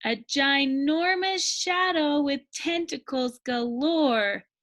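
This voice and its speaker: A woman reads a children's story in a high, bright voice. Her delivery is very slow and expressive, with deliberate emphasis on key words. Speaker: storybook reader.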